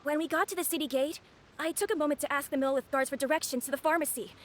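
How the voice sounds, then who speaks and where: A woman playing a young boy from an anime game, high and boyish. The character speaks earnestly and politely, with a worried, pleading manner. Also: steady tone, racing, slight background noise, close to an everyday voice.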